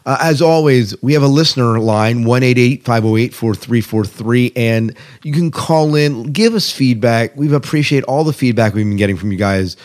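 A man's voice: mid-range voice, moderate pace, a little mellow. Casual male sci-fi podcast co-host. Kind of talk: casual podcast chat about science fiction.

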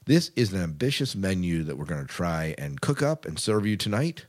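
A man's voice: deep voice, very animated, normal speed. A man co-hosting a podcast in a casual, informal way, often rephrasing himself as he chats. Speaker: podcast co-host.

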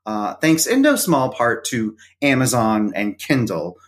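A man's voice: medium pitch, very animated, measured pace. Hesitant middle-aged man. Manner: hesitant, many fillers. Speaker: podcast co-host, interview guest. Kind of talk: casual conversation.

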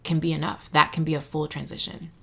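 A woman's voice: low voice, flat delivery, quick pace, creaky, breathy edge. A woman guest in an interview, talking thoughtfully and searching for words with frequent fillers. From Mexico City and San Antonio, Texas. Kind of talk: reflective interview conversation.

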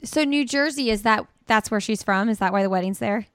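A woman's voice: mid-range voice, a little fast, fairly bright tone, smooth, dry recording, clean studio sound. A woman hosting a running podcast, asking friendly questions and reading her own ad spots. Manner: friendly, asks questions with fillers. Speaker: podcast host. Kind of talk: podcast interview and ad read.

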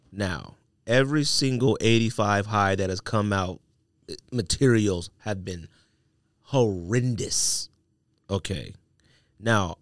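A man's voice: on the low side, a bit bright, no room sound, studio quality. A man hosting a casual podcast chat about sneakers and sports, opinionated and slangy. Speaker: podcast host. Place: small podcast studio, indoors.

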